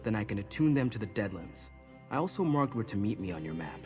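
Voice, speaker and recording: Earnest, low-pitched mage. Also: male voice, quick pace, over music. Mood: earnest, reassuring. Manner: calm, earnest, explanatory. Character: earnest, steady male mage guiding younger companions. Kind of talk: fantasy game acting.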